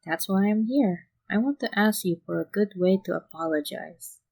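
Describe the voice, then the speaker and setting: A woman's voice: mid-range voice, moderate pace, vocal fry, darkish tone. A woman voicing a subdued, sheepish young man, a swordsman, in a fan fiction reading.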